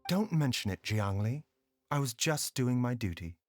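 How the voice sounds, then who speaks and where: Game role, male actor: composed, courteous physician, mid-pitched, measured and gentle, music underneath. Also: animated, close to an everyday voice. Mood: modest. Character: calm, modest, courteous adult male doctor.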